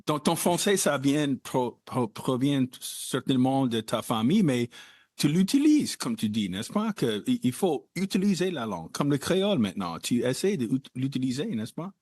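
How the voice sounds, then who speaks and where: A man hosting a conversational interview, talking in an animated way with wide jumps in pitch. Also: moderate pace, no room sound, clean studio sound. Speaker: podcast host.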